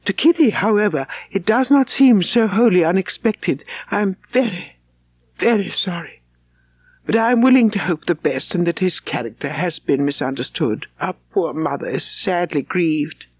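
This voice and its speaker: A woman voicing an anxious, gentle young gentlewoman in a refined, sorrowful, earnest manner, as in an audiobook of a classic novel. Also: lowish voice, animated, moderate pace, breathy edge, no room sound. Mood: worried, apologetic.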